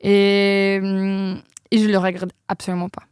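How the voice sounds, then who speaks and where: A woman's voice: mid-range voice, monotone, measured pace, darkish tone, clear, resonant tone, no echo. A young woman, not a native French speaker, telling her exchange-study story in a casual interview, hesitant with frequent fillers. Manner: hesitant, many fillers, laughs often. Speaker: interview guest.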